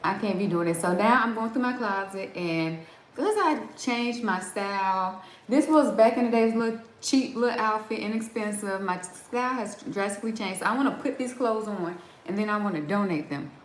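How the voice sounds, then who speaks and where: A woman's voice: mid-range voice, normal speed, slight background noise. Chatty woman on a roomy livestream. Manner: chatty, laughs often, trails off and restarts. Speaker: livestream host. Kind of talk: casual live chat reading viewer comments. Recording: roomy echo with faint background ambience.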